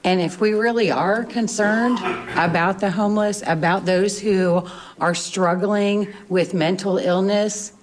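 A woman's voice: mid-range voice, steady tone, unhurried. A woman making remarks at a public meeting as chairperson. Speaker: board chairperson.

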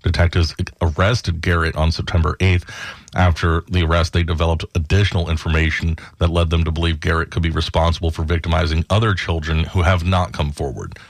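A male radio news anchor with a very low voice reads headlines in a steady, measured way. The studio sound is dry and close, with no room around it. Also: dry recording. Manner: steady, measured newsreader delivery. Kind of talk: scripted news reading.

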